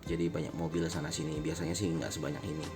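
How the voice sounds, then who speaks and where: A man's voice: very deep voice, level tone, fast, over music. A man in his late twenties from Indonesia chatting casually in a personal motovlog, rambling, correcting himself and chuckling at his own jokes. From Indonesia, born in Medan. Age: late 20s. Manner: informal Jakarta slang. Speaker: vlogger. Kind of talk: casual personal vlog talk. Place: riding on a motorbike through traffic, out of doors. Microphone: motovlog helmet camera.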